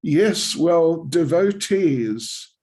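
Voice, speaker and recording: A man in his seventies from New Zealand, giving a relaxed spiritual class as a visiting swami, rambling slowly with self-deprecating asides. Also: on the high side, slightly rough. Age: 70s. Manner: slow, rambling, self-deprecating with light laughs.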